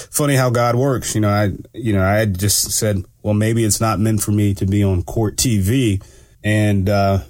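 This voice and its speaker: A man hosting his own radio talk show, sounding a bit stuffy from allergies and talking in a relaxed, rambling way with lots of fillers. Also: lowish voice, dry recording, clean studio sound. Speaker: radio show host and law enforcement analyst. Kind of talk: casual solo radio talk.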